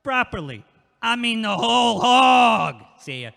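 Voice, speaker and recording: Man acting a crude taunting neighbor, high voice, bright tone, reverberant hall. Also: sing-song, a little slow, vocal fry, exaggerated voice. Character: crude, leering middle-aged male neighbor. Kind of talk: audio play acting.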